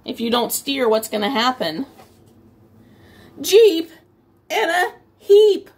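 A woman's voice: high-pitched, big pitch swings, takes it slowly. A middle-aged woman reading a picture book aloud to young children as a teacher, expressively stretching story phrases and adding simple explanations. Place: small room at home, indoors by a window.

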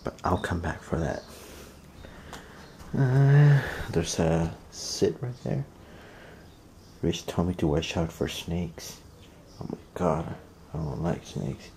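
A young man vlogging as he explores an abandoned house, talking excitedly to his viewers, recorded on a handheld camera. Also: low voice, slow, creaky, slight background noise. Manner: excited, addresses viewers as fams. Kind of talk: casual exploring vlog. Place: outdoors and inside an abandoned rural house. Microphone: handheld camera at arm's length.

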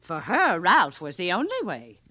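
A genteel, wealthy wife in a 1940s radio play. Her voice is on the low side and swings widely in pitch as she stammers, anxious and flustered. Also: huge pitch swings, unhurried, pushed and bright, no room sound, heavily acted. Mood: frightened, pleading. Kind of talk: 1940s radio drama acting.

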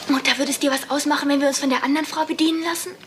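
Woman as high-voiced, exasperated teenage daughter. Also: steady tone, racing, a little hiss or hum, natural voice. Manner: pleading, indignant. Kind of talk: dubbed sitcom acting. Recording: audience laughter behind.

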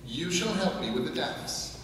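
A man voicing a brisk, bossy old countrywoman who is boastful and dismissive. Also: fairly high pitch, big pitch swings, a bit bright, voice pushed up. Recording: echoey hall, distant mic, noisy.

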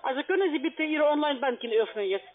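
A woman speaking as a call centre agent, insistent and halting, on a phone call. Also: sits high, lively intonation, soft background music. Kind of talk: phone sales pitch. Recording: tinny narrow telephone line.

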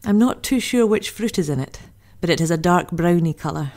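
Female Great War diarist, homesick, chatty, lowish voice; woman acting. Audio drama monologue. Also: animated, a little fast, darkish tone. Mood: wistful, chatty. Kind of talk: dramatic monologue, diary reading.

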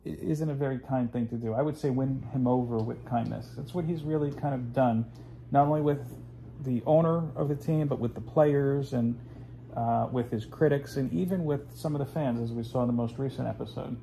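A man's voice: medium pitch, a little fast, vocal fry, warm tone, a little hiss or hum, low-quality recording. A man in his forties, hosting a casual home video, talking in a rambling, chatty way with plenty of fillers. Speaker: host. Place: living room at home, indoors.

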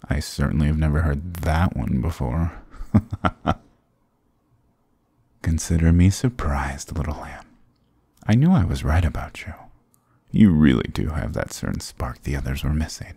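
A smug demon king, acted by a man, toys with his captive in an intimate ASMR roleplay. His voice is extremely low and gravelly, recorded close and dry. He is sarcastic, dominant and teasingly condescending. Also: warm tone, no echo, full character voice. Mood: amused, teasing. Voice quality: very deep, gravelly, breathy.

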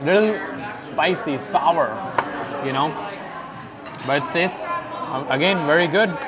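A man's voice: fairly high pitch, takes it slowly, over loud music. A young man hosting a food vlog, speaking on a lapel mic in a restaurant dining room and describing each dish casually as he tastes it. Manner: relaxed, describes each dish while tasting and chewing. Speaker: food vlogger host. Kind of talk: casual food review narration. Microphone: clip-on lapel mic. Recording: restaurant crowd chatter behind. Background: diners chatting.

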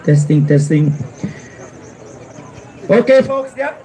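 Man, middle-aged, very high voice, live race call, outdoor track, handheld mic. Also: slow, crowd noise. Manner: excitable, rising to shouts at the finish. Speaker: race announcer. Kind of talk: live horse race commentary. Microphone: handheld mic held close.

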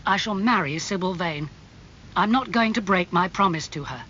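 Man acting an anguished young gentleman, highly animated. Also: fairly quick, lo-fi sound, slight background noise. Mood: earnest, hopeful. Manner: emotional, pleading. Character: anguished young gentleman, impulsive, remorseful, earnest.

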